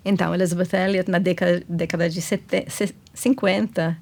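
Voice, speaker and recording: A woman with a noticeable English accent in her Portuguese, appearing as a guest on a women's ministry talk show. Her voice sits a little low. She explains at length, pausing on fillers and correcting herself as she goes. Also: fairly even intonation, vocal fry, darkish tone. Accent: Indian-born, English-raised speaker of Portuguese. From England.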